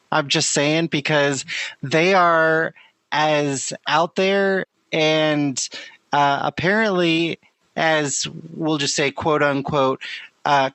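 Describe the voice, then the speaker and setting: Co-host, unhurried reader of notes, filler-heavy, slow pace, dry room. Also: mid-range voice, fairly bright tone, no room sound. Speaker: podcast co-host. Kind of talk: casual chat, reading out research.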